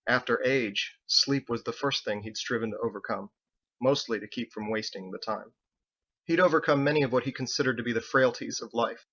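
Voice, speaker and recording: A man's voice: medium pitch, a little fast, sharp tone, lo-fi sound. A man reading scripted audiobook narration, an author telling his own story.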